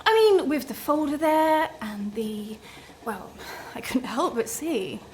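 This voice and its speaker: An anxious, flustered woman in a naturalistic radio play. Her voice is high, the delivery halting and wry with an agitated edge, over faint background noise. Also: animated, darkish tone. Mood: flustered, hesitant. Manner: agitated, halting, self-deprecating. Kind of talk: naturalistic radio drama dialogue.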